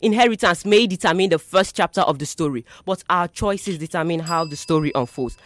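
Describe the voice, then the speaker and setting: A young student debater with a Nigerian accent delivers a rehearsed, declamatory speech in deliberate, rhetorical phrases. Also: medium pitch, a bit bright, no room sound, studio quality.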